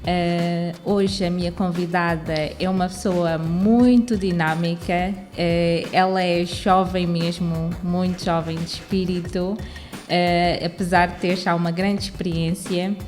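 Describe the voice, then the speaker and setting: A young Angolan woman hosting a podcast interview, chatty and full of "eh" fillers. Soft music plays under her voice. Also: on the low side, level tone, measured pace. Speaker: podcast host.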